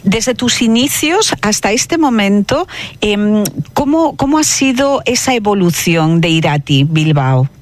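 A woman's voice: medium pitch, expressive, measured pace, a little hiss or hum. A woman interviewing a guest on the radio, hesitant, with lots of "eh" and "mm" between her words.

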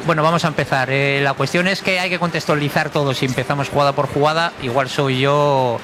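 A man's voice: fairly high pitch, fairly even intonation, a little fast, over music. A man analysing refereeing calls in a football debate, hesitant and full of restarts. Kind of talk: studio debate.

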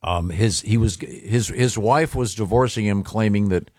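A man hosting a morning radio show, reading listener letters with halting fillers. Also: lowish voice, darkish tone, dry recording, studio quality. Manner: halting, reads with many uh fillers.

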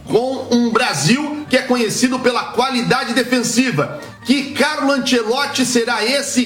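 Brazilian guy doing a radio sports report, pretty high voice, echoey with music under it. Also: fairly bright tone. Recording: roomy echo, music bed behind. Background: background music.

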